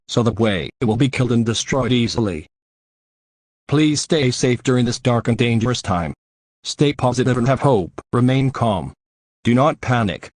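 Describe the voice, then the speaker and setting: A man's voice: medium pitch, dry recording. A man reading a scripted emergency address to the nation as a government representative, in a formal, solemn manner.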